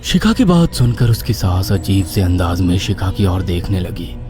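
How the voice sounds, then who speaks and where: A man's voice: deep voice, sing-song, normal speed, over music. A man narrating a scripted horror story in a dramatic style.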